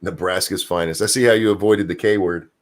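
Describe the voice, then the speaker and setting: Deep-voiced man in rambling profane chat. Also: fairly even intonation, fairly quick. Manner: profane, rambling, trails off searching for names. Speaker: podcast co-host. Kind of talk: casual livestream chat.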